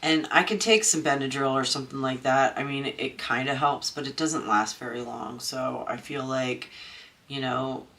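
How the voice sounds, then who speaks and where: A woman's voice: very deep voice, pushed and bright. A woman from Maryland with a stuffy, congested nose, chatting casually at home while she crafts, rambling with lots of ums and restarts. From Maryland, USA. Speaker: crafting channel host.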